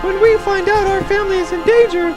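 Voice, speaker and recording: Extremely high-voiced, fierce young heroine. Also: male voice, fairly even intonation, fast talker, over music. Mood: earnest, determined. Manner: earnest, defiant, speechifying. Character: fierce, loyal young heroine, pilot of rogue crew. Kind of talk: comic audio drama acting.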